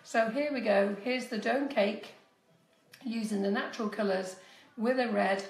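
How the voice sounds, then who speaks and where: Woman, 50s, cake decorating tutor, chatty and direct, slight cold squeak; roomy kitchen, distant phone mic. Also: measured pace. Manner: chatty, instructive, addresses viewers directly. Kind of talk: live-streamed baking demonstration. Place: home kitchen, indoors. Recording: echoey kitchen, voice often off-mic.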